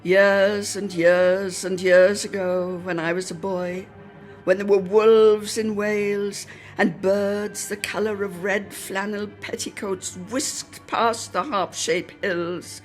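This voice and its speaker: A woman's voice: medium pitch, fairly even intonation, slow, faint music behind. A woman giving a dramatic, theatrical reading of a poetic literary memoir, drawing out phrases for emphasis. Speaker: solo reader.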